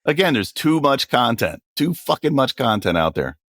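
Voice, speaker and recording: A man's voice: mid-range voice, very animated, normal speed, a bit bright, no echo, clean studio sound. Blunt, joking man in his forties. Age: 40s. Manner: blunt, joking, swears casually. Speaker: podcast co-host. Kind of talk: casual chat.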